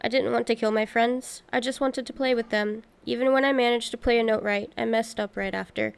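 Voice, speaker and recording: A woman voicing a gentle, sorrowful monster musician from a video game, pleading earnestly and haltingly. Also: on the high side, fairly even intonation, creaky, clean tone.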